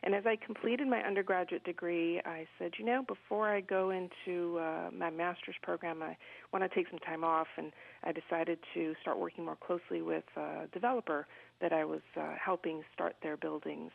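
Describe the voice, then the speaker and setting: A woman's voice: fairly low pitch, steady tone, moderate pace, gravelly. A woman from Colombia, a business guest speaking earnestly and articulately in an interview, with frequent ums. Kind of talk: interview conversation. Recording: phone-like narrow radio sound.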